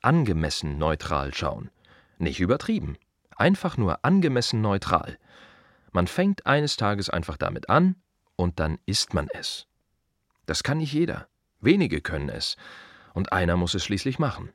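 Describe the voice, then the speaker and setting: Weary wry gravedigger in a radio play, husky voice, close dry sound, short punchy repeats. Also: male voice, sits low, sing-song, unhurried, no echo, heavily acted. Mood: wry, matter-of-fact. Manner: wry, emphatic, repeats short phrases, mimics others. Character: world-weary, wry male gravedigger musing on death and the city. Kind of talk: radio play monologue, conversational and rhythmic.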